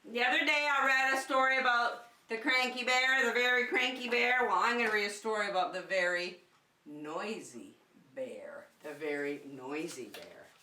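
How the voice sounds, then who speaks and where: A woman's voice: medium pitch, normal speed, sharp tone, a little hiss or hum. A woman leading children's circle time, talking simply and encouragingly to young children as a teacher does. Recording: roomy, echoey, distant microphone.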